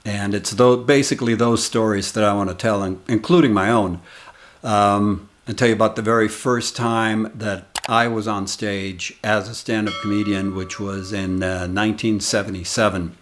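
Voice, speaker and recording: A man's voice: fairly low pitch, fairly even intonation, a little hiss or hum. A man in his sixties, a comedian hosting his channel and casually telling a rambling, reminiscing story of his early days. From United States. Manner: rambling, reminiscing, unhurried anecdotes.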